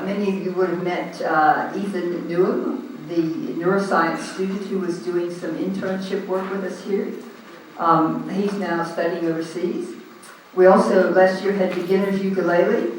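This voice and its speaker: A man with an Australian accent chairing a community group's meeting, speaking loudly and casually with many ums into a handheld microphone. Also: high-pitched, a little hiss or hum. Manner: loud, informal, hesitant with frequent ums. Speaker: meeting chair standing in for the president. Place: large echoey hall. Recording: echoey hall, recorded from a distance.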